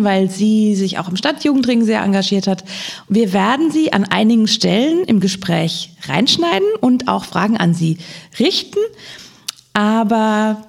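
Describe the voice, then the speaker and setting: A woman moderating a podcast interview, talking in a clear and friendly way. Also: mid-range voice, a little mellow. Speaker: podcast host.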